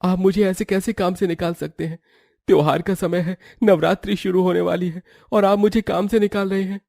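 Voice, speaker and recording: Man acting as worried working husband, pleading, earnest, higher-pitched, moral story cartoon, dry recording. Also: steady tone, fairly quick, creaky, mellow, no echo.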